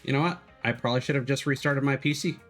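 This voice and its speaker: A man streaming a video game, talking casually and explaining things in a halting, analytical way. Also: medium pitch, a bit bright. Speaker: streamer, host of a gaming live stream. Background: background music.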